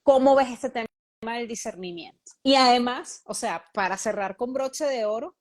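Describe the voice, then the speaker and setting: A woman hosting an interview, asking long questions in a thoughtful, measured way and pausing to search for words. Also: fairly high pitch. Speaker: host, reading mediator.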